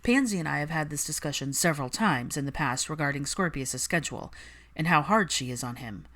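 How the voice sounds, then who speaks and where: A woman voicing a composed, wry, probing man of an old wizard family, with a smooth, measured manner in audiobook dialogue. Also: deep voice, expressive, fairly bright tone. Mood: measured, serious.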